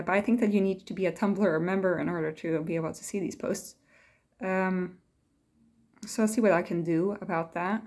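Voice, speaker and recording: A woman's voice: mid-range voice, a little mellow. Hesitant, musing woman vlogger. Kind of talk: casual reflective monologue, reading quotes aloud.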